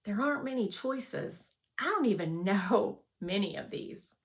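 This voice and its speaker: A woman's voice: mid-range voice, expressive, unhurried, close to an everyday voice. A woman voicing a friendly, forthright schoolgirl in a storybook read-aloud, casual and encouraging. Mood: cheerful.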